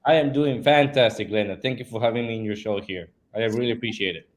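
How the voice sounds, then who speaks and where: A man's voice: on the low side, quick pace. Earnest man from Peru telling his story. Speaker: guest. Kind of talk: casual interview talk.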